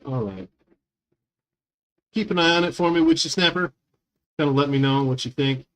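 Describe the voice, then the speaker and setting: A man's voice: fairly high pitch, normal speed. A man in his thirties chatting with viewers while streaming a game, rambling with lots of fillers into a small condenser mic at home. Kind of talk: casual live-stream chat with viewers.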